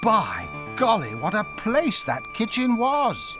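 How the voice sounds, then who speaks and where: A man's voice: high-pitched, wildly swooping pitch, slow pace, over loud music, exaggerated voice. A man as narrator of a dramatized storybook, vividly recalling a boyhood adventure as a mouse. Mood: storytelling.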